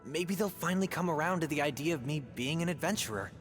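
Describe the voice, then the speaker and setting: A man's voice: fairly high pitch, lively intonation, fast, lightly acted. A man voicing an earnest rookie adventurer. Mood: pleading. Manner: earnest, eager to prove himself. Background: game music.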